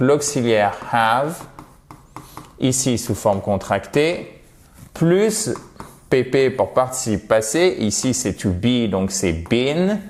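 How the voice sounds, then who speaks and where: Man, native French speaker, English teacher, slow deliberate delivery, patient, explanatory. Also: mid-range voice, a little mellow. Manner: slow, patient, explanatory, repeats words for pronunciation. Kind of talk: video lesson.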